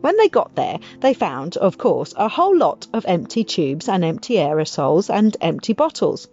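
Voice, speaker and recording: A woman narrating a children's audiobook in an expressive, scripted storytelling style. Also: fairly low pitch, moderate pace. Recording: muffled audio with music behind.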